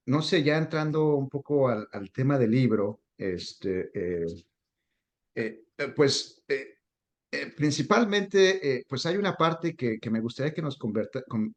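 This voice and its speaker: Hesitant male interviewer, full of fillers. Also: mid-range voice. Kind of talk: interview questions.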